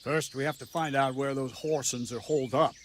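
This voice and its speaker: An actor voicing a grim, practical medieval captain in a video game, with measured, instructive delivery. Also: male voice, mid-range voice, steady tone, a little hiss or hum, lightly acted. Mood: businesslike.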